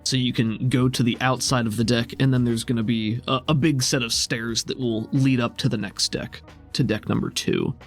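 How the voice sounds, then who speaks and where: A man speaks as a tabletop game master at a middling pitch. He narrates and explains rules in an improvised way, with music playing under his voice. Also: steady tone, moderate pace. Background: background music.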